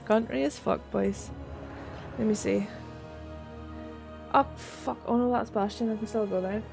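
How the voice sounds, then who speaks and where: A woman's voice: fairly high pitch, takes it slowly, gravelly, pauses often, music in the background. A woman with a rural Northern Irish accent chatting on a gaming livestream, swearing freely and laughing often as she reads chat. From Northern Ireland. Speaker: streamer.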